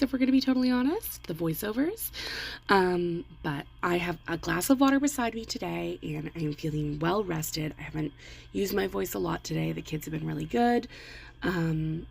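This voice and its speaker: A woman in her thirties with a croaky voice, recovering from laryngitis, chatting casually in a rambling, self-deprecating voiceover at home. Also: lowish voice, normal speed, darkish tone. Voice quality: croaky, gravelly voice. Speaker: planner vlogger. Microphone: voiceover mic.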